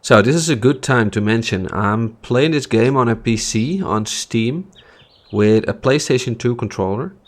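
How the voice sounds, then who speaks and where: A man's voice: mid-range voice, unhurried. Dutch-accented man hosting a let's play. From Netherlands. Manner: relaxed, chatty, with frequent uh and um. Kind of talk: casual gameplay commentary.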